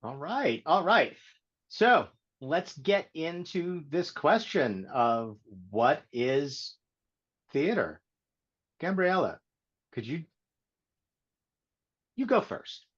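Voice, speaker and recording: A man's voice: on the high side, very animated, very slow, pauses often. A man hosting a livestreamed panel in a casual way over a video call. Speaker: panel host. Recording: dull, lo-fi call audio.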